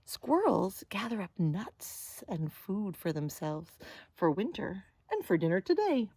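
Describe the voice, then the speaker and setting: Woman, children's read-aloud, gentle teacher manner, slow pace, very lively sing-song intonation, addressing preschoolers. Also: medium pitch, husky, dry recording. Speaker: early learning teacher reading a picture book aloud.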